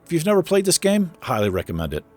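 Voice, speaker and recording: A man casually commenting on his city-building game while he plays, thinking aloud with lots of fillers, his voice going hoarse. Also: a little high, wildly swooping pitch, fast talker, faint music behind. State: losing his voice. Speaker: gaming channel host.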